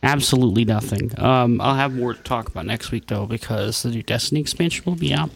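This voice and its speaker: A man's voice: mid-range voice, quick pace, vocal fry, husky. A man co-hosting a podcast in laid-back casual chat with dry, joking remarks. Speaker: podcast co-host.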